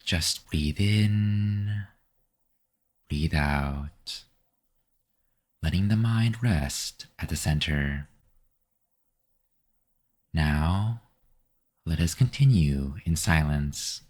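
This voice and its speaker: A man guiding a meditation with gentle, unhurried, scripted instructions. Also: very deep voice, animated. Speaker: meditation guide. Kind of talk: scripted guided meditation narration.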